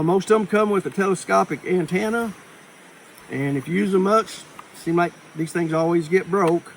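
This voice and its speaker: A man's voice: on the high side, slight background noise, poor audio. A man giving an informal, plain-spoken, rambling preparedness talk to viewers, in a folksy way. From Appalachia, United States. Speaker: preparedness vlogger.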